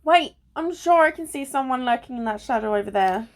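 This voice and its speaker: An amateur actress playing a sensible, bossy, cautious friend in a radio play, with a dry, scolding manner. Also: female voice, high-pitched, animated, fairly quick.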